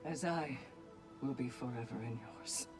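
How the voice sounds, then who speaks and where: A woman's voice: rumbling low, moderate pace. An actress playing a proud, stoic and tender female warrior commander in a video game. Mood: stoic resolve. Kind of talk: video game character acting. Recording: game music underneath.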